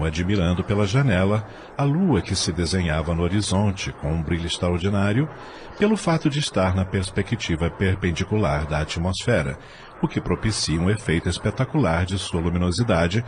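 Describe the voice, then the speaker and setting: A man's voice: low-pitched, sing-song, moderate pace, noisy background. A man narrating an audio novel in a scripted storybook style.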